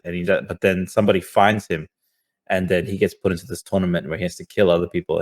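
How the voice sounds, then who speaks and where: A man co-hosting a podcast in casual, slangy conversation, often circling into his point with filler words. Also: low-pitched. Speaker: podcast co-host. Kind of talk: casual chat.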